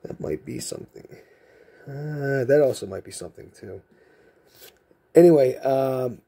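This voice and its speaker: Off-camera male host, husky low-toned voice, softened Massachusetts accent, rambling and jokey. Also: lively intonation, measured pace. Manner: rambling, chatty, jokey asides. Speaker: card collecting channel host. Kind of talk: casual chat while sorting cards. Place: indoor room with card shelves.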